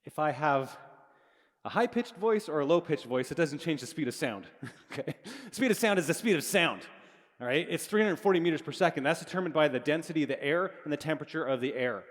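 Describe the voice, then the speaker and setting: A man's voice: a little high, expressive, brisk. A middle-aged man giving a live physics lecture to students in a large hall, explaining casually and correcting himself as he goes, speaking into a handheld microphone. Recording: long reverb of a large hall.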